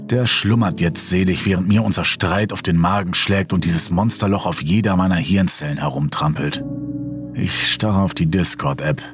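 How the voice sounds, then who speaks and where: Casting: low-voiced male actor as weary, haunted first-person horror narrator, dramatic delivery, music underneath. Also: normal speed, close to an everyday voice. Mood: restless, irritated. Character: sleepless, brooding man haunted by a mysterious hole.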